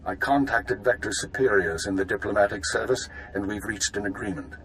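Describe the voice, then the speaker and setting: A man's voice: lowish voice, brisk, fairly bright tone, a little hiss or hum, low-quality recording. A man voicing a curt, authoritative intelligence chief in a video game, clipped and businesslike. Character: curt, authoritative spymaster man commanding agents.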